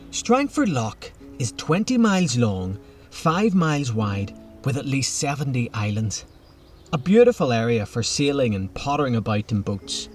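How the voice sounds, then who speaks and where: Animated man narrating a story. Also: medium pitch. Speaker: actor reading a nominated story. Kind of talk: scripted prose narration with acted dialogue.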